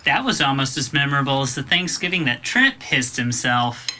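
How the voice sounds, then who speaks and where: An actress playing a smug, sarcastic young relative who teases and needles in an audio drama. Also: female voice, very deep voice, sing-song, normal speed, sharp tone, a little hiss or hum, heavily acted. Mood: mocking, smug. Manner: sarcastic, teasing. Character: smug, mocking, needling young member of the family.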